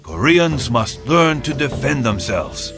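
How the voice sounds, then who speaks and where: A man's voice: medium pitch, very animated, takes it slowly, music in the background. A man playing a noble, commanding admiral in a video game cutscene, speaking in a formal, declamatory, solemn manner. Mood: solemn resolve.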